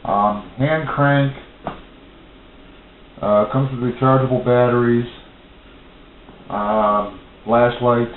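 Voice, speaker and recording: A man's voice: mid-range voice, steady tone, takes it slowly, long pauses. An American man chatting casually as he reviews a product, rambling and hesitant as he thinks aloud. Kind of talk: casual unboxing chat. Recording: roomy, dull lo-fi amateur audio, faint hiss.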